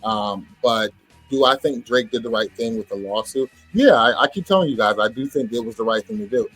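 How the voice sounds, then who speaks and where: A man's voice: medium pitch, brisk, a bit bright, breathy edge, soft background music, low-quality recording. A man on a debate panel defending his opinion casually, adding careful qualifications as he goes. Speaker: panelist on a hip-hop debate show.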